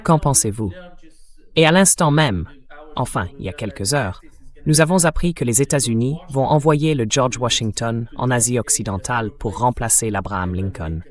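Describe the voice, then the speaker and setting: A man's voice: mid-range voice, moderate pace. A man voicing a translated interview as the host, laying out news reports and putting questions.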